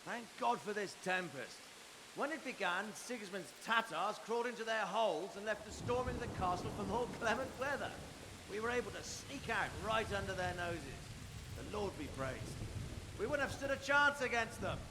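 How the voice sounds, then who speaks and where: A man playing a gruff, sardonic middle-aged knight lord in a medieval video game, calling out across a distance. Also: high-pitched, lively intonation, normal speed. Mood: grave, resolute. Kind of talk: dramatic video game acting. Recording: hissy, stormy game audio, reverberant.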